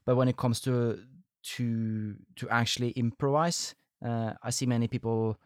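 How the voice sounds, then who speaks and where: A man hosts a conversational interview and works his way slowly toward each question. His talk is halting and full of fillers, and the recording sounds dry and close. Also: medium pitch, flat delivery, no echo. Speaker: podcast host.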